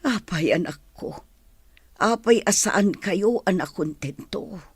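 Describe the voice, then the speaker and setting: Husky, sorrowful older village mother. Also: mid-range voice, expressive, slow pace, no echo. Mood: grieving. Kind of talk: Ilocano radio drama acting.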